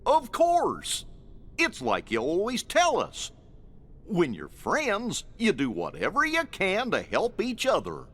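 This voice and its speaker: A man voicing a kindly, loyal, bumbling cartoon dog sidekick in a video game, husky and folksy. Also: high-pitched, huge pitch swings, slow, fairly bright tone, heavily acted. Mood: cheerful, earnest. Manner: folksy, bumbling, good-natured.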